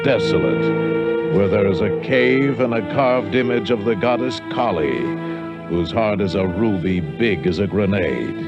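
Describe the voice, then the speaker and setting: Very deep, ominous vintage radio announcer. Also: male voice. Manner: ominous, deliberate. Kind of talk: dramatic scripted 1950s radio narration. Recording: muffled vintage radio recording, music behind.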